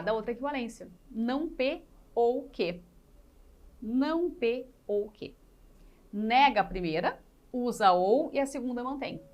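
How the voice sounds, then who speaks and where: A woman teaching an exam-prep class step by step, repeating key points and putting questions to learners. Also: sits high. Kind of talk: live lesson explaining exercises step by step.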